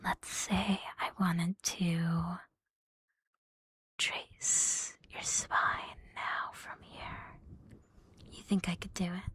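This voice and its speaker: A woman's voice: fairly low pitch, flat delivery, extremely slow, long pauses, a bit bright. A woman whispering breathily in an intimate, soothing ASMR roleplay as a catgirl girlfriend, very close to the microphone. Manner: mostly whispering, close and soothing, lingering on repeated words. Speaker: ASMR performer.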